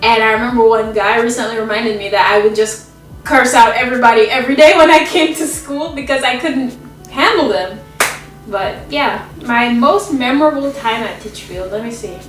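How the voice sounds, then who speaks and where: A woman's voice: a little high, normal speed, echoey room, recorded from a distance, faint music behind, low-quality recording. A young woman from Jamaica chatting casually about her school years while cooking in her home kitchen, rambling and laughing often. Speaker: vlogger being interviewed.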